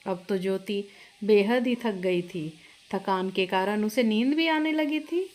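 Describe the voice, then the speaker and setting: A woman's voice: mid-range voice, a little slow, a little mellow. A woman reading a scripted family story as its narrator.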